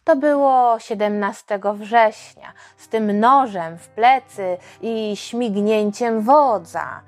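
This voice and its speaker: A woman host narrating a history story for children in a colloquial, expressive way. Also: mid-range voice, takes it slowly. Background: background music.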